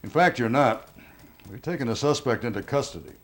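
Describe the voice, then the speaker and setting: An actor playing a police detective who presses suspects with measured, probing questions in a naturalistic film drama. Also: male voice, medium pitch, lively intonation, natural voice. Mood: matter-of-fact.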